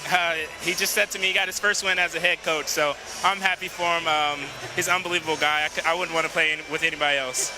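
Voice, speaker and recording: Young player, quick and modest, noisy arena. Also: male voice, fairly high pitch, little pitch movement. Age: young adult. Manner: modest, halting, many fillers. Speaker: basketball player. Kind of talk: postgame interview.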